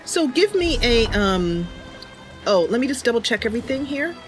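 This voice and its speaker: A woman's voice: fairly high pitch, big pitch swings, normal speed. A woman hosting a live game stream, chatting casually with viewers and thinking aloud. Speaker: live streamer and channel host. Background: game music.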